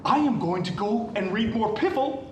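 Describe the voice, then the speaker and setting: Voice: mid-range voice, expressive, moderate pace, a little hiss or hum, natural voice. An actor playing an exasperated, sardonic English professor in a web series, speaking in an emphatic, theatrical and sarcastic way. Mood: sarcastic, fed up. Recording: roomy distant mic, music behind.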